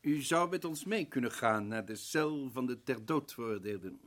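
An actor playing a determined professor detective in a radio drama, pressing people with sharp, insistent questions. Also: male voice, medium pitch, animated, moderate pace, lightly acted. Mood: probing.

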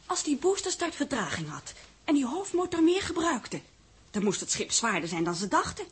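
Quick-witted secretary, high voice, animated intonation, eager questioning, deferential, 1960s radio drama. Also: female voice. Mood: eager, reasoning. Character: inquisitive, quick-witted female secretary taking dictation.

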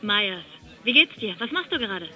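An actress playing a chatty office worker on the phone, familiar and persuasive, in radio drama dialogue. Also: female voice, medium pitch, big pitch swings, moderate pace, sharp tone, faint music behind, heavily acted, low-quality recording. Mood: chatty, coaxing.

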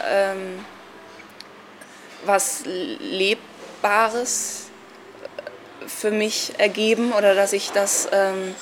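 Even-toned woman thinking aloud. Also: mid-range voice, noisy background. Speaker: interviewee. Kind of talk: casual reflective talk.

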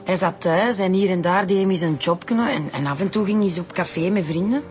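An actress with a Flemish accent playing an agitated, pleading, insistent wife in a radio police drama. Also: female voice, on the low side, fairly quick, a little mellow, lightly acted. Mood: defensive. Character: distraught, insistent working-class wife of a suspect.

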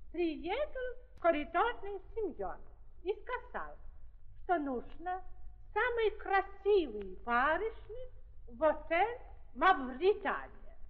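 A fussy German brothel madam, proud and effusive in broken Russian. Her high voice swoops about as she takes her time over each phrase. It is a muffled old 1930s radio drama recording. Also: full character voice. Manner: slow, effusive, ungrammatical speech.

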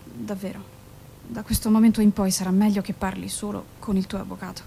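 An actress in an Italian radio play playing a grave forensic doctor, firm and controlled, with an insistent, blunt way of talking. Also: female voice, mid-range voice, flat delivery, vocal fry, mellow, a little hiss or hum, natural voice. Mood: subdued, grave. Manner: measured, insistent, bluntly direct.

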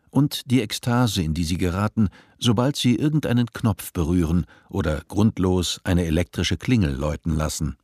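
A man playing a composed storyteller, reading measured, literary narration in a radio play. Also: deep voice, a little mellow, dry recording, clean studio sound. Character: composed male storyteller of a dystopian tale.

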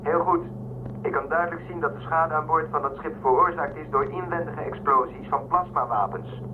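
An actor playing a businesslike spaceship radio operator in a science fiction audio drama, heard over a radio link. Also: male voice, a little high, moderate pace. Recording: noisy, humming, phone-like radio link.

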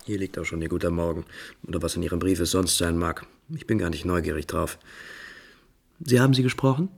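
Impulsive husky-voiced lovesick prince. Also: male voice, low voice, a little fast, full character voice. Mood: weary, aloof. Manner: theatrical, emphatic classical stage diction. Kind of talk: 1950s German radio play acting.

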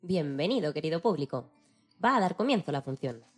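A woman with a husky voice narrating a rhyming children's tale in a playful, declamatory, theatrical way. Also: sits low, big pitch swings, brisk, faint music behind. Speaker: storyteller.

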